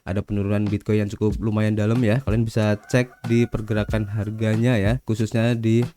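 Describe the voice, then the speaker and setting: Fast-talking stuffy-nosed Indonesian man. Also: fairly low pitch, level tone, dark tone, slight background noise. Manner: chatty, adds "ya" and "guys" to most lines. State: stuffy nose from a cold. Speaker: crypto news channel host. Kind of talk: casual crypto news roundup.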